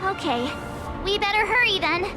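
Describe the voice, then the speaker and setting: Very high, lively young girl. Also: moderate pace, loud music behind the voice. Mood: curious, upbeat. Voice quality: youthful, high. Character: bright, curious, friendly teenage girl adventurer. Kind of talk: English anime-style game voice acting.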